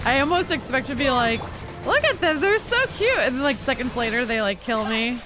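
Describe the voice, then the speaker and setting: Female game streamer, high and very animated, unhurried, chatty and self-deprecating, clear game music underneath. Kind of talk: casual gaming livestream commentary. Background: video game music.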